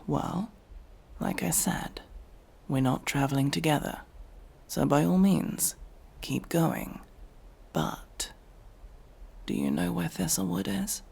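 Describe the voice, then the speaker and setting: A man's voice: on the high side, sing-song, slow pace, creaky, pauses often, a little mellow, husky, full character voice. A man playing an aloof, prickly elf mercenary in a fantasy ASMR roleplay, speaking in a curt, sardonic, exasperated manner. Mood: stern, sardonic. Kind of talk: ASMR fantasy roleplay, acted monologue to listener.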